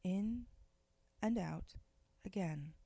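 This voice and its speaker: Woman meditation guide, very slow and gentle, dry close recording. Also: medium pitch, gravelly, breathy edge, no echo. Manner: slow, soft, gentle instructions with long pauses.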